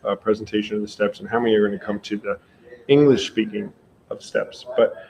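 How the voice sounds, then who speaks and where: A man's voice: fairly even intonation, brisk, a little mellow, low-quality recording. A man hosting a casual livestream interview, thinking aloud and repeating himself for emphasis. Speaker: livestream host. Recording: clear rumbly background noise.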